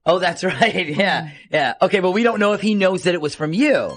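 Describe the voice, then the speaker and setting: A man's voice: high-pitched, fairly quick, fairly bright tone. A man hosting a radio show, chatting casually with a caller in a phone-in segment.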